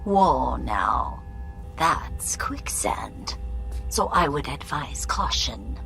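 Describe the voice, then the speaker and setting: A woman playing a shrewd older fixer, dry, imperious and deliberate, with formal phrasing in a video game. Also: extremely low pitch, sing-song, gravelly, a bit bright, a little hiss or hum, full character voice, poor audio. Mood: stern, warning.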